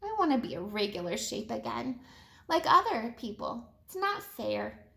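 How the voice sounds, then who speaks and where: A spirited young boy in a children's storybook, acted out by a woman reader. The voice is high and swings widely in pitch. He is a good sport, though he gets cross easily. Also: very animated, normal speed, vocal fry, a bit bright, exaggerated voice. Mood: sad.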